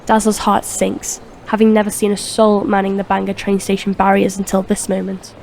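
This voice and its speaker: A woman's voice: medium pitch, monotone, moderate pace, vocal fry, darkish tone, a little hiss or hum, poor audio. A woman reading scripted narration for a crime audio drama.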